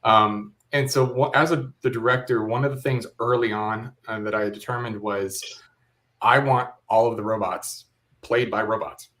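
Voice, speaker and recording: A man's voice: mid-range voice, steady tone, normal speed, a bit bright. A man talking casually as an interview guest, a director explaining a creative project.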